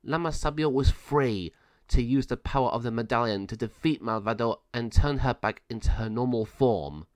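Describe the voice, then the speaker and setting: A man narrates a children's adventure story from a script. His pitch moves around a lot, and the sound is dry and close. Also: animated, normal speed, a little mellow, no echo. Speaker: storyteller reading aloud.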